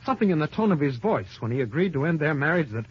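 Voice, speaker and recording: A man's voice: fairly high pitch, very animated, quick pace, breathy edge. A man playing an earnest, anguished young accountant in an old radio detective drama, dramatic and insistent. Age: young adult. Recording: dull, muffled old radio recording.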